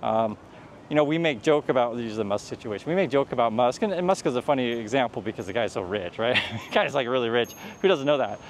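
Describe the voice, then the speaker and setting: A man's voice: medium pitch, lively intonation, fast. Guy rambling on a walk vlog, restarts a lot, faint traffic behind him. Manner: rambling, many restarts and fillers, earnest advice. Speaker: vlogger. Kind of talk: casual vlog commentary while walking. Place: outdoors on a street near traffic.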